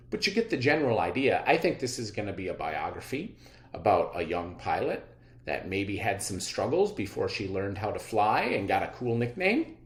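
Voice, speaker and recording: Man, schoolteacher, read-aloud lesson, varied intonation, instructive, thinks out loud, asks questions, roomy echoing recording. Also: on the low side. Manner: instructive, pauses to wonder aloud and question listeners. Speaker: elementary school teacher leading a reading lesson.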